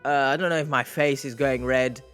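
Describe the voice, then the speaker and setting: A man hosting a reaction video, talking through a clip with animated, exasperated commentary that mixes sarcasm and sympathy. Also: mid-range voice, a little fast, clean tone, faint music behind. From England. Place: indoor room under lights.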